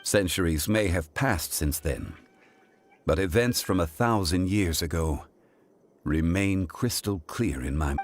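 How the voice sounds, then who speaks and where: A man playing an ancient, composed god of contracts in a video game, speaking with formal, measured eloquence. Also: low-pitched, a little hiss or hum. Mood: calm, reassuring. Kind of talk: scripted video game dialogue. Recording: game audio with music behind. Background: background music.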